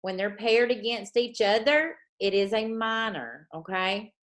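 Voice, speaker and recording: Slow-talking woman coaching. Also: mid-range voice, a bit bright. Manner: slow, repetitive dictation, checking in with "okay?". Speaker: forex trading coach. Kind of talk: live teaching lesson.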